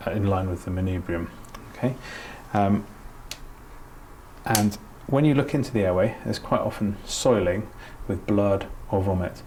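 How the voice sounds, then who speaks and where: A middle-aged man with a slightly low voice talks through a practical demonstration as an instructor. His delivery is informal and explanatory. There is light ambient noise behind him. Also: darkish tone. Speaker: doctor giving a training demonstration.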